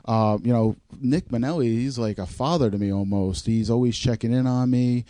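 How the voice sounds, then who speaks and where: Dude on a podcast, mellow warm tone, dry close sound, joking around and swearing a bit. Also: male voice, mid-range voice, normal speed, no echo. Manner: loose and joking, swears casually. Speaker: podcast co-host. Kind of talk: casual podcast chat.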